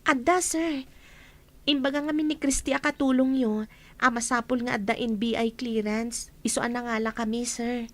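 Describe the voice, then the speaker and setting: A woman's voice: fairly high pitch, steady tone, moderate pace, close to an everyday voice. A woman playing a polite young woman from the province who is asking for work as a maid, often saying sir, in a radio comedy drama. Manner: deferential, says sir often.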